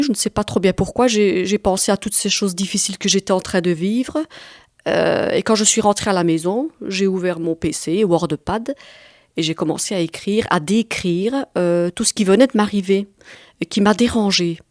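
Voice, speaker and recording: A woman's voice: medium pitch, normal speed, darkish tone, no room sound, clean studio sound. A woman in her forties with a Belgian French accent, answering interview questions conversationally and hesitantly as she searches for words. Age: 40s. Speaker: guest author and artist.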